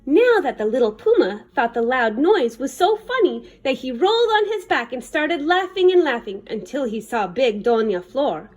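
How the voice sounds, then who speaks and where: A woman reading a children's picture book aloud in an expressive, dramatic manner. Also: high voice, normal speed, few pauses, soft background music. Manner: animated, dramatic. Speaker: children's story reader.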